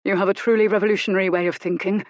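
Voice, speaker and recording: A woman acts out a self-righteous gentleman of the Regency era, puffed up with indignation and pompous certainty. His voice sits at an ordinary middle pitch and is heard close and dry. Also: flat delivery, rapid-fire, dry recording, close to an everyday voice. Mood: cautious.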